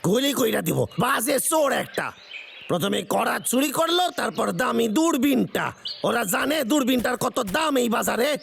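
A man voicing a grumbling, hot-tempered hunter in a cartoon dub, speaking in an eastern Bengali dialect with a gravelly voice. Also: very high-pitched, sing-song, a little slow, noisy background, exaggerated voice. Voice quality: creaky, gravelly.

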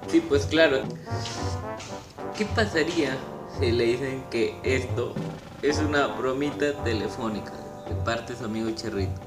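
A teenage male, speaking politely and haltingly, as if on a phone call. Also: mid-range voice, over music. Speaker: YouTuber making a prank call. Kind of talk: improvised prank phone call, posing as a prize agent. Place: small room at home, indoors. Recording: roomy echo.

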